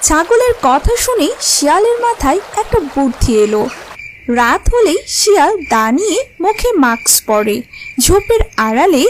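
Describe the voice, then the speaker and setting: Casting: female storyteller for children's cartoons, bright-pitched and very varied in melody. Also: big pitch swings, moderate pace, a little hiss or hum, poor audio. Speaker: narrator.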